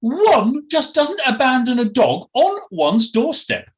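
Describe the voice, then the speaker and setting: Storybook snob: a haughty upper-class English lady, very high and squawky, schoolmistress airs, swooping delivery. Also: huge pitch swings, heavily acted. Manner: drawn-out, gushing, haughty.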